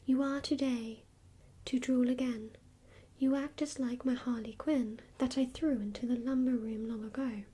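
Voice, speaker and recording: A woman's voice: high voice, fairly even intonation, moderate pace, warm tone, breathy edge. A woman whispering the lines of a mischievous young boy, cheeky and blunt.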